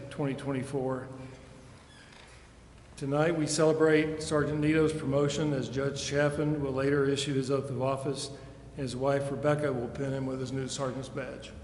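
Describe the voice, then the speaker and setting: Police chief guy doing a formal ceremony speech, measured, really echoey room with noise. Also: a little high. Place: large indoor council chamber. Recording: echoey reverberant hall, clearly noisy.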